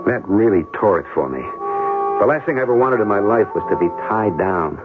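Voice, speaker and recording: An actor playing an evasive, selfish young American military pilot in an old-time radio drama, talking in slangy fashion. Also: male voice, on the low side, lively intonation, fairly quick, phone-quality sound, lightly acted. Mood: rueful, cynical narration. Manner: slangy, hesitant when making excuses. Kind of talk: old-time radio drama acting with first-person narration. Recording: band-limited old radio broadcast, music behind.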